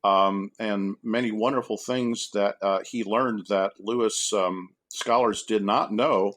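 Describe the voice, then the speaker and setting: Male professor guest, moderately low pitch, measured and hesitant, conversational podcast tone. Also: fairly even intonation, a bit bright. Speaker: academic guest, university professor. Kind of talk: casual podcast interview.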